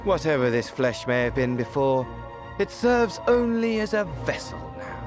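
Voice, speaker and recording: Theatrical game villain, male actor, unhinged and melodramatic, wildly varied pitch, with prominent music underneath. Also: big pitch swings, normal speed, close to an everyday voice. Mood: sly, musing. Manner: grandiloquent, melodramatic, swooping from shrill glee to hushed menace. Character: flamboyant, theatrical, mad male villain, servile yet mocking. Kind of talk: theatrical video game voice acting. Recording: music behind the voice.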